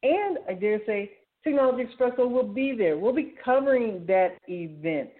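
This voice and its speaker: A woman's voice: on the high side, lively intonation, moderate pace. A woman hosting a show, talking informally and enthusiastically as she walks listeners through announcements in a conversational way. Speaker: radio show host. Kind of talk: informal solo talk, announcing articles and events. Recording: thin, phone-like narrow-band sound.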